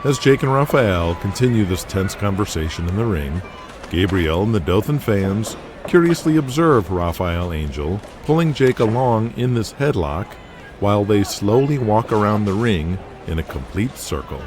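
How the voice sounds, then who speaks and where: A man's voice: on the low side, sing-song, moderate pace, noisy background. A man narrating a scripted audio drama.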